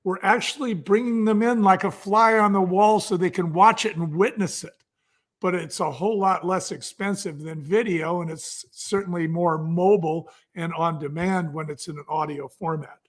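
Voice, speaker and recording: A man's voice: high voice, steady tone, normal speed. A man speaking as an entrepreneur guest, explaining conversationally with vivid examples.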